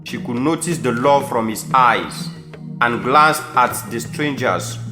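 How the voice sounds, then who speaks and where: A man's voice: mid-range voice, measured pace. A man with a Sierra Leonean accent giving scripted storytelling narration for an audio drama. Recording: echoey room, faint music behind.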